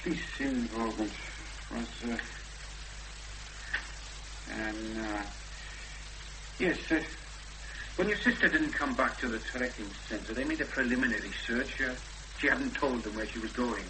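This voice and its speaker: An actor playing a cautious, courteous rural policeman with a Welsh accent and a halting official delivery in a 1970s radio drama. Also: mid-range voice, lightly acted. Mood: halting, sombre. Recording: old radio recording, loud hum and noise.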